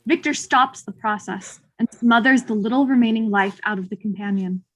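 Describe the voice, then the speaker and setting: A woman with a slightly high voice serves as narrator. She reads scripted stage directions for a dramatic reading. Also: normal speed, rough recording.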